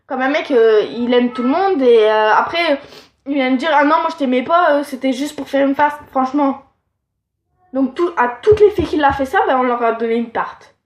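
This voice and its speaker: A woman's voice: high-pitched, moderate pace, reverberant. A teenage girl giving frank, rambling relationship advice, with a nasal, stuffed-up voice and lots of fillers. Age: teens. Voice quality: nasal from allergies. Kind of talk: casual advice monologue.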